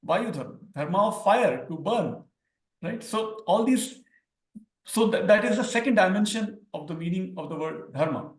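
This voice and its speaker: Gravelly Indian man explaining with emphasis. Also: high voice, roomy sound. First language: Hindi. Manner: explanatory, repeats words for emphasis. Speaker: scholar guest. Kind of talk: academic discussion.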